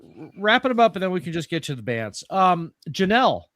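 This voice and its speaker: Man chatting casually, halting delivery, dry sound. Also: high-pitched, very animated, a bit bright, no room sound. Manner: halting, repeats words as he talks. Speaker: host of a radio players group. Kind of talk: casual chat.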